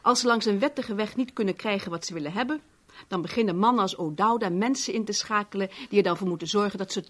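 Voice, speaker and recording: A protective, sceptical assistant in a seventies radio drama. She is outspoken and matter-of-fact, with a dry delivery at an average female pitch. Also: a bit bright. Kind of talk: 1970s Dutch radio play acting.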